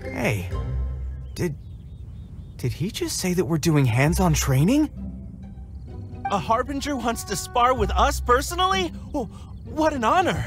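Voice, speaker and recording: A man's voice: extremely high pitch, very animated, measured pace, long pauses, fairly bright tone, heavily acted. A man voicing a nervous young recruit who stammers with awe in a game cutscene, set back with music behind. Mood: starstruck. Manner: stammering, eager to please.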